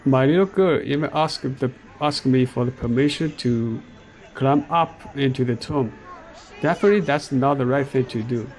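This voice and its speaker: A middle-aged man from China with a Chinese accent, narrating a walking tour of an outdoor park in slow, halting speech full of fillers. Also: mid-range voice, a little mellow. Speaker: vlogger.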